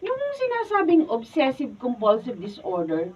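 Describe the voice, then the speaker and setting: Woman playing a chatty young Filipina, very high, animated, confiding, radio drama, distant dull sound. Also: moderate pace, slight background noise. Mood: exasperated, confiding. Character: chatty young woman, exasperated by her father. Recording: distant, dull studio camera-mic recording.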